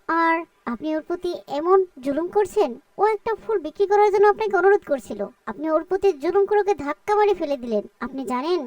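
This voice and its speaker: A woman's voice: extremely high pitch, darkish tone, no echo, distant mic. A woman voicing a kind, righteous young madam in a cartoon, earnest and preachy. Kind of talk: cartoon voice acting.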